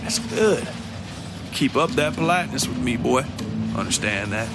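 A man's voice: medium pitch, animated, moderate pace, vocal fry. A man voicing a menacing, short-tempered gunslinger bully who drawls threats and insults in a strained snarl. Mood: mocking menace. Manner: insulting, domineering, drawled rustic phrasing. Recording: loud music behind the voice.

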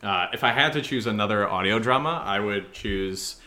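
A man's voice: fairly low pitch, moderate pace, sharp tone. A man co-hosting a podcast, chatting casually as he answers listener questions in a rambling, joking, self-deprecating way. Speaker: audio drama podcast co-host.

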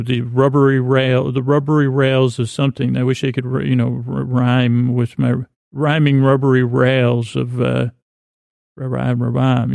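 Male podcast host for sleepy listening: creaky, meandering, repeats himself, gently absurd monologue, very dry recording. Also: mid-range voice, no echo. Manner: meandering, halting, repeats and trails off mid-thought; whimsical, self-deprecating. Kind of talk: rambling bedtime podcast monologue.

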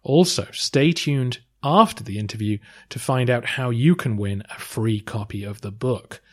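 Male podcast host, measured scripted delivery, average pitch. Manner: measured, occasional um and uh. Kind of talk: scripted podcast intro and interview.

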